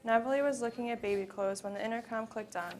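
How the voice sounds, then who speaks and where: A woman reading prose narrative aloud at a live event, in a measured, dramatic way with phrases that trail off. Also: fairly high pitch, steady tone, darkish tone. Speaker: student performer in readers theater. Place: live college library event. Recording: live event recording with background noise.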